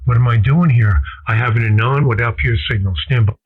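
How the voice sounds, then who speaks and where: A man's voice: medium pitch, fairly quick, slightly rough, phone-quality sound. A man chatting casually about technical matters as an amateur radio operator. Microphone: radio station microphone. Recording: band-limited ham radio transmission.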